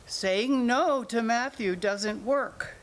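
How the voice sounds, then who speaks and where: A woman's voice: fairly high pitch, takes it slowly. A woman plays an exasperated mother of adult children who worries and scolds. She is insistent and reproachful, in the manner of a staged play reading. There is faint noise behind the voice. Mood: insistent, worried.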